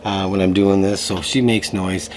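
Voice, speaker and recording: A man giving a casual tarot reading to camera, slightly hoarse from a lingering cough, talking in a loose way with many fillers. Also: low voice, moderate pace, slight background noise. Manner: relaxed, loose, full of fillers and restarts. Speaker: tarot reader. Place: room at home.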